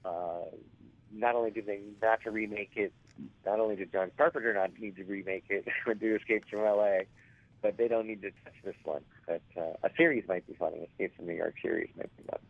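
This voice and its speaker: A man in his forties with a raspy, hoarse voice, telling stories as an interview guest and rambling with many fillers and restarts. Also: on the low side, fairly even intonation, fairly quick. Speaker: actor guest. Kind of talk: casual interview storytelling. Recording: tinny phone line.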